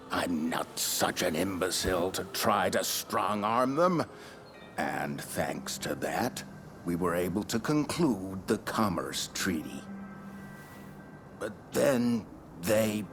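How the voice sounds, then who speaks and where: A man's voice: lowish voice, a bit bright, exaggerated voice. A man playing a blunt, condescending, worldly consul general in a video game cutscene, speaking formally with some pomposity. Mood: exasperated.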